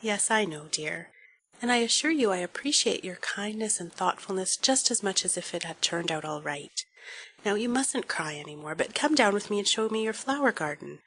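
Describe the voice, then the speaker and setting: A woman voicing a kind, gentle minister's wife who speaks softly and reassuringly. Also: mid-range voice, very animated, a little fast, few pauses, a little hiss or hum, lightly acted, low-quality recording.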